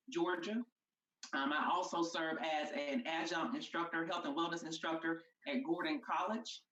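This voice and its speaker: Woman in her 40s, sounds far from the mic, echoey room, muffled call audio, measured with lots of ums. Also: on the low side, a bit bright. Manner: measured, fills pauses with um. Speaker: former player, educator. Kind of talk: formal self-introduction.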